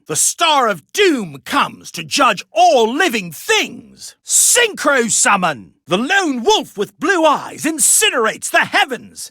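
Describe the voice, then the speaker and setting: A man's voice: very high-pitched, pushed and bright, no echo, clean studio sound, heavily acted. Bombastic young duelist king, wildly sweeping delivery. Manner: grandiose, theatrical declaiming. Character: proud, bombastic young male duelist king, fiercely confident. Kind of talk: dramatic anime game voice acting.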